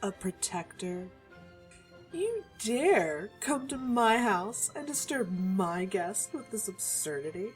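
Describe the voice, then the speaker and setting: A woman's voice: medium pitch, very animated, takes it slowly, soft background music. A woman playing an imperious, scornful vampire aristocrat in an audio drama, haughty and theatrical. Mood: scornful, indignant.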